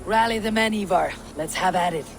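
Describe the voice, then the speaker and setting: An actor playing a resolute, blunt Viking raider commander in a video game, terse and commanding. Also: male voice, high voice, brisk, gravelly, music in the background. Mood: firm, urgent. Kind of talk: video game dramatic acting. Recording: loud noise behind the voice.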